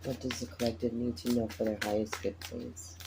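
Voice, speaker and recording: A man with a raspy morning voice, tired, chatting casually as he lays out a tarot reading and repeats his phrases. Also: mid-range voice, level tone, a little fast, heavy background noise. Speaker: tarot reader.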